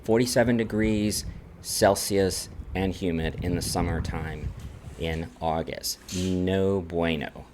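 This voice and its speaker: A man's voice: sits low, little pitch movement, a little slow, background noise. A man from Texas with an American accent, talking about his own life as a vlogger. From Texas, United States. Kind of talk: personal talk to camera, numbered list.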